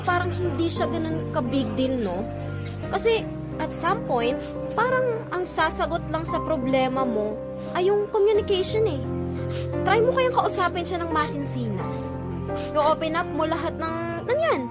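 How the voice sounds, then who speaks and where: An actress plays a sassy young woman with a very high voice. She is the blunt, caring best friend in an audio drama, chatting in slangy girl talk over loud music. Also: expressive, moderate pace. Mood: concerned, advising. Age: young adult. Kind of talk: casual conversational audio drama acting.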